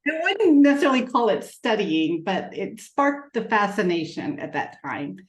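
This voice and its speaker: A woman's voice: medium pitch, sing-song, normal speed. A middle-aged woman from Texas with an American accent, a guest astrologer who talks in a chatty way and laughs often. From Texas, United States. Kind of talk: casual conversation over video. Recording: echoey room.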